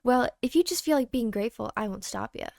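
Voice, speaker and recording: A woman's voice: fairly high pitch, lively intonation, fast, creaky, darkish tone, no room sound. A woman voicing a cocky, teasing teenage boy, a loyal best friend in a game, with brash, slangy delivery.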